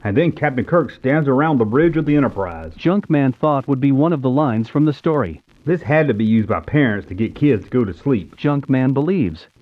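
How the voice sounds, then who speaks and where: A man's voice: mid-range voice, lively intonation, normal speed. An American man in his fifties narrating nostalgically in a scripted storybook style. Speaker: YouTube show host. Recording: tinny phone-like narrow-band sound.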